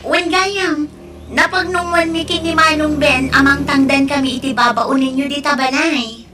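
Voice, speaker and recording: A woman's voice: high voice, fairly even intonation, moderate pace, over music, close to an everyday voice. A woman playing a responsible, caring elder sister in an Ilocano radio drama, speaking earnestly with an Ilocano Filipino accent. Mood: caring, upbeat. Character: responsible, caring elder sister working abroad for her family.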